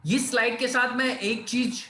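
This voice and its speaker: A man delivers a persuasive sales pitch, his voice pitched high and bright. He repeats key phrases and fills pauses with "uh". The room sounds echoey, with a faint hum of ambience behind him. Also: steady tone. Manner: persuasive, repetitive, many fillers, reads out names. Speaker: presenter of an online business opportunity session. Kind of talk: live online sales presentation in Hindi-English mix.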